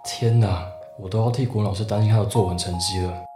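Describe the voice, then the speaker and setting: A roomy recording with music behind it. A low-voiced Taiwanese-accented student acted by a young man speaks in a radio play, lovestruck and teasing. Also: steady tone, fast talker, close to an everyday voice. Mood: playful teasing. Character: lovestruck teasing male student narrating from hospital. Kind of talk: audio drama narration and dialogue.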